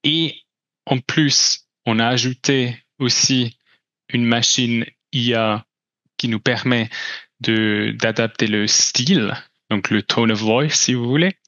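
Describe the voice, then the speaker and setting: A man's voice: mid-range voice, takes it slowly, a bit bright, dry recording, highs cut off. Man presenting hesitantly. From Switzerland. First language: German. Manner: hesitant, many restarts and fillers. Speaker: company presenter. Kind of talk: conference talk with slides.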